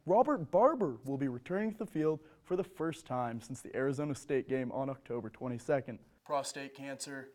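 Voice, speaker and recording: A man's voice: medium pitch, no room sound. A young man reporting as a student TV journalist, reading scripted news with an emphatic broadcast delivery. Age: young adult.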